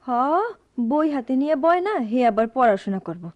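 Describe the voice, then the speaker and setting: An actress playing an outspoken, dutiful young daughter in a poor household, with a Dhaka-area Bangladeshi accent, in a radio drama. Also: female voice, high-pitched, big pitch swings, a little fast, lo-fi sound. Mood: earnest, complaining. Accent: Bangladeshi, Dhaka-area dialect.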